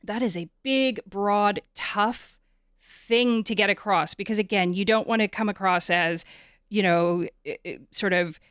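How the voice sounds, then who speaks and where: Woman interviewer, halting and searching, close dry sound. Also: medium pitch, a bit bright, clean tone, no room sound. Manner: halting, searching, repeats words. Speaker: podcast host. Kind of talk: conversational interview.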